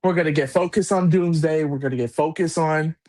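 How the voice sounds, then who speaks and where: A man in casual chat as co-host, talking in halting rambles with many restarts. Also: on the high side, mellow.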